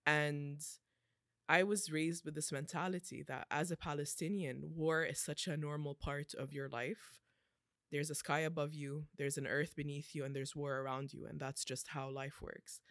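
A woman's voice: low-pitched, little pitch movement, normal speed, fairly bright tone, no echo. A woman in her twenties hosts a podcast and shares a personal reflection. She talks earnestly, and her intensity builds as she goes. The recording is dry and close. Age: 20s. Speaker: podcast host.

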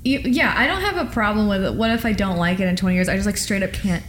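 A woman's voice: medium pitch, fast, reverberant, slight background noise. A woman in her twenties co-hosting a podcast in casual chat, rambling with lots of fillers and poking fun at herself. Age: 20s. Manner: rambling, lots of "like", self-mocking. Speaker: podcast co-host.

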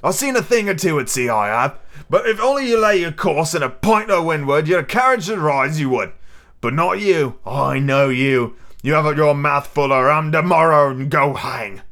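A man's voice: a little high, expressive, normal speed, fairly bright tone, pitched above the performer's own voice. A man reading an audiobook, voicing a cunning, boastful, domineering pirate cook with emphatic rustic sailor talk. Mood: fierce, emphatic. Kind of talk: audiobook character acting.

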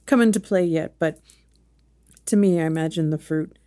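A woman's voice: sits low, normal speed, warm tone. A woman co-hosting a casual chat, asking teasing, wry questions. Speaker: podcast co-host.

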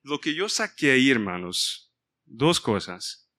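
Bright-voiced man leading a Bible study. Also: mid-range voice, sing-song, takes it slowly. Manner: mixes in English words, stops to ask the group for help. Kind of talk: informal Bible study sermon.

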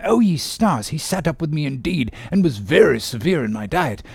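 A man's voice: on the high side, very animated, normal speed, vocal fry, breathy edge, no room sound, pitched above the performer's own voice, heavily acted. A man voicing a hearty, jovial English whaling captain in a storybook, full of teasing bluster and exclamations. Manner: exclamatory, ribbing, boisterous.

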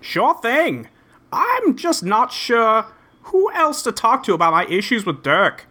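An earnest young gentleman adventurer, acted out in a comic script reading, polite and folksy. The wordy, old-fashioned lines come in a bright, forceful voice with very lively swings of pitch. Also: takes it slowly, full character voice. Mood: genial.

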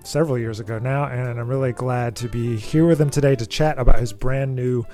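A man hosting an interview podcast, asking questions in a relaxed, friendly, loose way. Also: medium pitch, brisk, soft background music. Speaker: podcast host. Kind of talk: casual interview chat.

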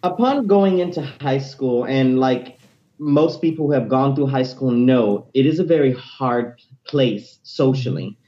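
A man in his late twenties with a high tenor voice, telling his personal story as an interview guest in an animated, energetic way. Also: measured pace, muffled recording. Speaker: interview guest, teacher and music minister.

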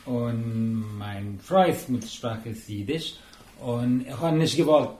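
Mann mit Tamil als Muttersprache, stockend. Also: male voice, mid-range voice, warm tone, roomy sound. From India. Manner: halting, searching for words. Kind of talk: casual group discussion.

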